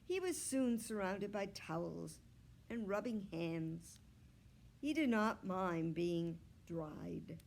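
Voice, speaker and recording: Slow-reading woman, children's storybook read-aloud. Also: lowish voice, animated, a little mellow. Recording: clear background noise.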